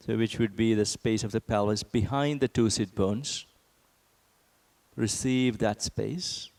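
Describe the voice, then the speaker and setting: Guy doing guided meditation, gentle n super slow, lots of pauses, creaky voice. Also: mid-range voice. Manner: gentle, measured, with long pauses. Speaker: meditation and yoga teacher.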